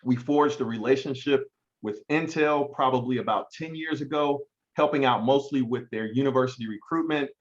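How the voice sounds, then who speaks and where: A man's voice: mid-range voice, normal speed, muffled recording. A man hosting an online hiring event, talking in a casual, conversational way with frequent fillers. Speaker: event host.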